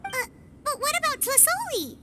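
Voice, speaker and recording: A woman voicing a small, childlike fairy companion in a video game, earnest and exclamatory, with a squeaky voice and music playing behind. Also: big pitch swings, moderate pace, pushed and bright, heavily acted. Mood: curious.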